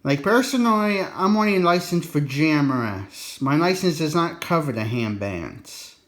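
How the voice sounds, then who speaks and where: A man's voice: on the high side, animated, normal speed, a bit bright. A man giving a casual, unscripted explainer in a folksy, colloquial way, rambling a bit and repeating his warnings for emphasis. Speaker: presenter of an instructional radio video.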